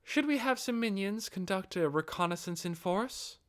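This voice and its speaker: Beautiful guardian captain, voiced by a man: high, courteous, earnest and devoted servant for fantasy audiobook. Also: expressive, moderate pace, fairly bright tone. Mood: restrained. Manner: polite, restrained, deferential. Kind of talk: audiobook character acting.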